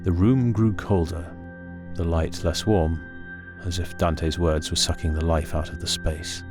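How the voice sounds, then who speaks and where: A man with an extremely deep voice reads a horror story. His delivery is scripted, measured and full of suspense. Prominent music runs under the narration. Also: steady tone.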